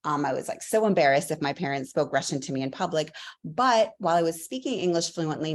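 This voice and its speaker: Woman guest, low voice, earnest storyteller, podcast interview. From Latvia. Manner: earnest, fluent storytelling with fillers. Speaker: guest, professor.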